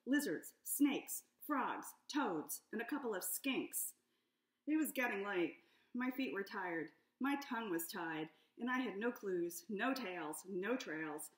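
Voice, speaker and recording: A middle-aged woman reading her own children's picture book aloud at home, in a playful, expressive detective-story style. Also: mid-range voice. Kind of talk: storybook read-aloud narration. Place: home room with high ceiling. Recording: echoey room.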